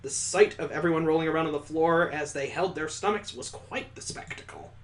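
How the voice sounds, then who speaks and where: A man's voice: fairly high pitch, fairly quick. A man reading visual novel text aloud as a gameplay host, with theatrical, mock-epic narration over music. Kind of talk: dramatic storybook-like narration of game text. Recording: music behind the voice.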